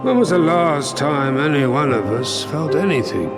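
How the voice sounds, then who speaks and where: A scornful, haughty game villain, pitched a little high. His speech is formal and disdainful over clear music. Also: animated, normal speed. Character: haughty, scornful, skeptical member of villain order. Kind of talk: video game cutscene acting.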